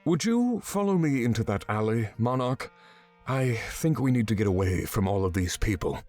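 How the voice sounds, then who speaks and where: An actor playing a stern, exacting swordsman mentor in a fantasy visual novel game, giving measured, commanding instruction. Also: mid-range voice, lively intonation, a little mellow, close to an everyday voice. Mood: measured, guiding. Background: background music.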